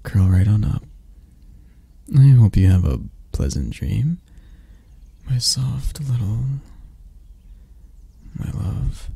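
Husky, dark-voiced predatory monster lover played by a man. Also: very animated, heavily acted. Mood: tender, possessive. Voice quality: husky, breathy, gravelly low voice. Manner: slow, teasing murmur with soft chuckles and near-whispers. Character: predatory yandere spider-creature lover, teasing, possessive, tender. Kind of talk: intimate ASMR roleplay acting.

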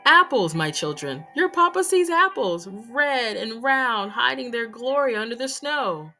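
A woman voicing a kindly, devout father who gently quotes proverbs in a storybook. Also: lowish voice, animated, moderate pace, faint music behind, voice pushed down. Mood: marveling.

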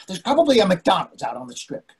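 A man's voice: sits high, huge pitch swings, fast. A man in his sixties playing a grumpy, argumentative, hungry husband in a readers theatre play. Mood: exasperated. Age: 60s. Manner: exasperated, argumentative. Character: grumpy, hungry middle-aged husband out at dinner. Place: indoors on a video call.

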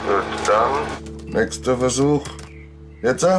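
An actor playing a casual, self-justifying tech geek in a TV crime comedy, a little hesitant. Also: male voice, medium pitch, extremely slow, music in the background. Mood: laid-back. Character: laid-back, evasive male tech geek helper.

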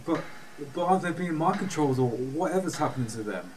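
A man's voice: fairly high pitch, expressive, fast, a little hiss or hum, lightly acted. A man playing a mind-controlled commander in a fan audio drama. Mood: shaken relief. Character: male mind-controlled commander, hostile, then shaken and freed. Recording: distant mic under music.

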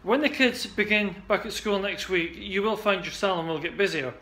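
A man in his forties coaches salon owners straight into a desk webcam. His voice sits high and bright, with a chatty, upbeat flow full of restarts and "uh"s. It sounds like an indoor office recording. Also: moderate pace. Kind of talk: casual direct-to-camera pitch.

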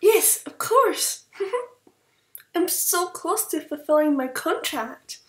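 A woman voicing a sweet, naive young forest girl, girlish and expressive, in a comic fan dub. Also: very high voice, takes it slowly, roomy sound, background noise, exaggerated voice. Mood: eager. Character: gentle young magical forest girl, naive, playful, fiery when hurt.

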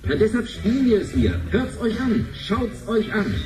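A slick oldies presenter in a radio play. He talks chummily in a raised, highly animated voice, echoing over music. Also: moderate pace. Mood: chummy, showy. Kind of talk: radio play acting as broadcast presenter patter. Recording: echoey broadcast sound over music.

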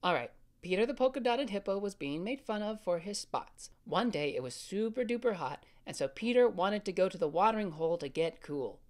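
An actor playing a caring older brother, gently soothing his little sister and telling her a bedtime story in a family audio drama. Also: high voice, expressive, fairly quick, few pauses.

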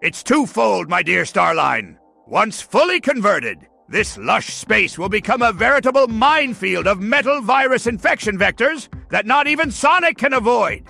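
A man's voice: high voice, sing-song, normal speed, gravelly, bright, forceful tone, full character voice. A man playing a pompous, gloating mad-scientist villain in a theatrical comic dub. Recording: music behind the voice.

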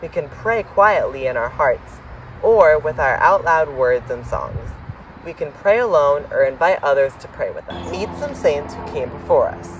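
A young man with a fairly high voice reads a children's picture book aloud in a lively, varied tone. He is recorded outdoors from a little distance, with faint background noise. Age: young adult. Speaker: reader. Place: outdoors in autumn, seated by shrubs. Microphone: camera several meters away.

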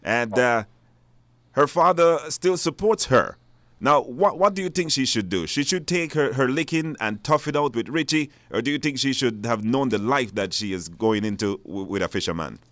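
A man hosting a live radio phone-in, speaking haltingly and repeating words. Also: medium pitch, expressive, fairly bright tone, no echo. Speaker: radio talk show host. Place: radio studio.

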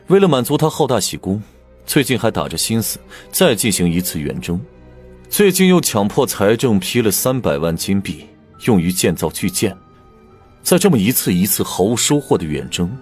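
An actor playing a grave, troubled imperial prince in an audiobook drama, speaking candidly with measured emphasis. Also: male voice, fairly low pitch, darkish tone.

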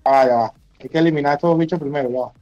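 A man's voice: a little high, very fast, dark tone, highs cut off, faint music behind. A man with a Venezuelan accent chatting casually while gaming, giving slangy, repetitive instructions in a bossy way. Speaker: gamer livestreamer. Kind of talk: casual chat while playing an online game.

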